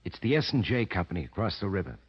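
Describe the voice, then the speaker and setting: Old radio tough-guy henchman, low and raspy, slangy clipped lines. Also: very animated, fast, heavily acted. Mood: eager. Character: tough, pushy male gangster henchman. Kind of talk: 1940s radio crime drama acting. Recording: dull, lo-fi old radio recording.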